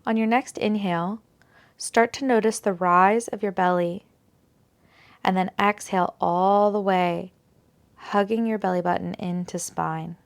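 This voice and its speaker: A woman teaching a guided yoga nidra meditation in a soothing, unhurried, gentle manner, leaving long pauses between phrases. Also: mid-range voice, fairly even intonation.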